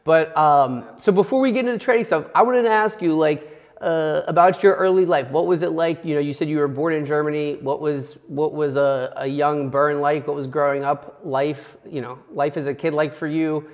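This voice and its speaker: An upbeat male host with a fairly high voice chats casually and fills his sentences with "uh" and "you know". His voice rings with a long reverb. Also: steady tone, moderate pace. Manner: upbeat, filler-heavy, trailing off quietly. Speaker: show host. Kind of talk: casual interview chat.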